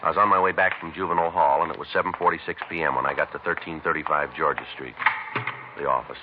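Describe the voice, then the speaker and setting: A deadpan police sergeant. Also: male voice, very deep voice, quick pace. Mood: clipped. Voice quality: flat, clipped, deadpan delivery. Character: terse male police detective sergeant narrating. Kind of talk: 1950s radio crime drama. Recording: lo-fi vintage radio broadcast with noise.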